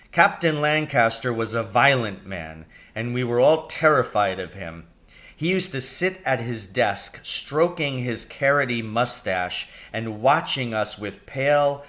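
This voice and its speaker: A man's voice: mid-range voice, fairly bright tone. Man reading a storybook expressively. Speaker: teacher reading a book aloud.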